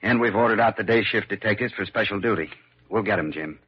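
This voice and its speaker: A steady male police lieutenant with a low, husky voice. He is businesslike and reassuring, in old radio drama style with muffled sound. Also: fast talker. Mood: resolute. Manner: matter-of-fact, reassuring.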